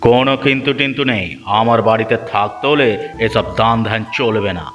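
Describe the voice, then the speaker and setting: Man acting as miserly old grandfather, cartoon story, mocking, berating, mid-pitched, music behind. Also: a little fast, big-room sound, natural voice. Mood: angry, scornful. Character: harsh, scolding old grandfather, miserly and bossy. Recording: background music.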